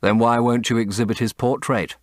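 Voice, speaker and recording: A man's voice: mid-range voice, fairly even intonation, brisk, dry recording, studio quality, natural voice. A man voicing a languid, witty, cynical lord with an urbane, aphoristic manner in a dramatised audiobook reading. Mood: curious. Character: languid, witty male aristocrat, cynical and amused. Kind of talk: dramatic audiobook character acting.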